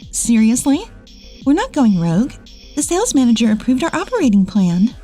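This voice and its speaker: A woman's voice: high voice, warm tone. A woman voicing assertive office women in a dubbed comic drama. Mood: indignant. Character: bitter, bossy female ex-boss, and calm capable female employee.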